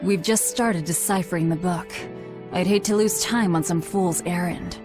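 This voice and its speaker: Game casting: languid female spirit scholar played by a woman, lowish pitch, dry drawl, weary of it all, over music. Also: normal speed, lightly acted. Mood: dry, reluctant. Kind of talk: video game voice acting. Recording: music under the voice.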